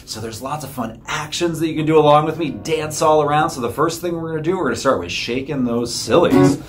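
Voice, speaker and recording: A man's voice: on the high side, a little fast. A middle-aged man leading a children's story time as a librarian, giving simple, encouraging sing-along instructions. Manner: encouraging, addressing small children. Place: small indoor room, seated at a table. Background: acoustic guitar he plays.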